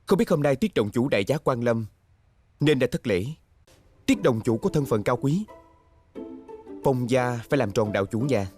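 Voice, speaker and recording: Scheming young court noble, played by a man: medium pitch, formal and insinuating, period drama with faint music. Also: a little mellow, natural voice. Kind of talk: Vietnamese dubbing of costume drama.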